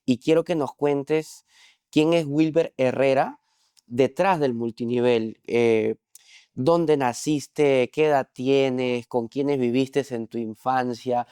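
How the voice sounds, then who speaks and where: A man's voice: medium pitch, steady tone, takes it slowly, mellow, clean tone, no echo, clean studio sound. A man hosting a podcast, asking questions in an upbeat, encouraging way. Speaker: podcast host. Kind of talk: interview hosting.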